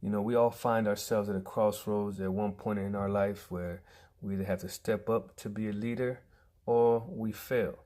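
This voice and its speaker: A man giving an earnest prepared tribute speech, emphatic, with pauses and restarts. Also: lowish voice, fairly even intonation, dark tone.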